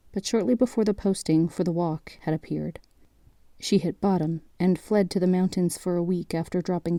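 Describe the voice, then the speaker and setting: A woman narrating an audio drama in a measured, literary storytelling manner. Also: lowish voice, dark tone, dry recording, studio quality.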